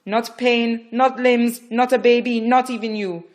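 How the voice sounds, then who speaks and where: A woman's voice: fairly high pitch, fairly even intonation, measured pace, pitched above the performer's own voice, lightly acted. A woman voicing a stern, urgent female midwife who barks firm orders during a birth. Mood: commanding.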